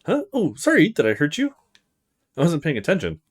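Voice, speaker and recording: A man's voice: fairly high pitch, huge pitch swings, fairly quick, breathy edge, voice pushed up, heavily acted. A man voicing a polite young woman from a visual novel who is in a hurry and courteous. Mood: hurried, polite.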